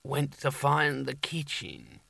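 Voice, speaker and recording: A man voicing Pestilence, a sickly, gloomy horseman with a gravelly, dripping voice who talks slowly and doubtfully. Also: medium pitch, bright, forceful tone, dry recording, exaggerated voice. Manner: slow, doubtful and mournful.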